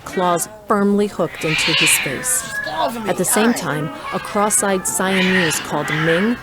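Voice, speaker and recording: A woman's voice: lowish voice, measured pace, over a noisy crowd. A woman reading scripted story narration for an audio drama. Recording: noisy ambient sound effects.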